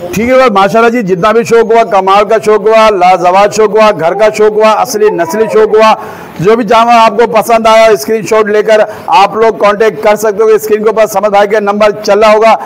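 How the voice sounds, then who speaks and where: A man walks through a goat farm, praising the animals eagerly and pitching them like a salesman. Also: level tone, moderate pace, a little hiss or hum, rough recording. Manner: enthusiastic, repeats blessings often, salesy. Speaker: vlogger visiting a goat farm. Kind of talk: walk-through vlog commentary with a seller.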